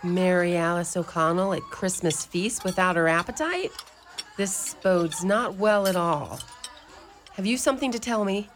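A woman playing a stern but tender elderly mother superior in a community radio play, brisk and commanding. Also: lowish voice, slight background noise, natural voice. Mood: probing.

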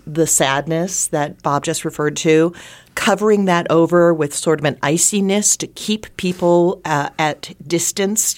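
Slow, low-voiced woman telling stories. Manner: expressive, acts out lines while storytelling. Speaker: theater playwright and adapter, interview guest. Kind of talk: conversational interview answer.

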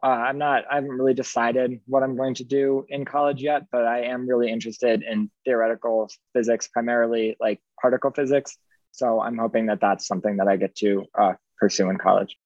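A man's voice: mid-range voice, flat delivery, a little fast, a little mellow, no echo. A teenage boy speaks casually and hesitantly, with plenty of "like" and "um". The sound is dry and a little dull, as if recorded with little bandwidth. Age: teens. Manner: casual, lots of "like" and "um". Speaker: high school student. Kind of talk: radio interview.

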